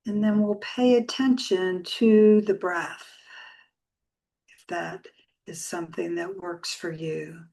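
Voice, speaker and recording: Casting: female meditation guide, lower-pitched voice, very slow delivery, soft and soothing, gentle pauses. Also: fairly even intonation, vocal fry, rough recording. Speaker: yoga meditation teacher.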